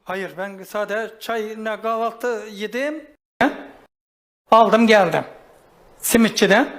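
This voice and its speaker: Very high-pitched, stammering man. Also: takes it slowly, a bit bright. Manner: stammering, repetitive, stubborn. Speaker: talk show guest. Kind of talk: defensive, rambling talk.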